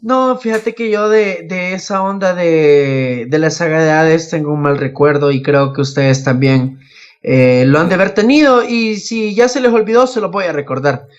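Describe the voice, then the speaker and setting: Higher-voiced young man, muffled podcast audio. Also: moderate pace, clean tone. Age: young adult. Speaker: podcast co-host. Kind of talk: casual podcast chat about anime. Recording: dull lo-fi voice recording.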